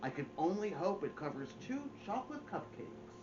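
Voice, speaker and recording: A middle-aged man reading aloud to children as a story time host, with theatrical delivery and deliberate pauses. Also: sits high, big pitch swings, lo-fi sound, noisy background. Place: indoors, small home room.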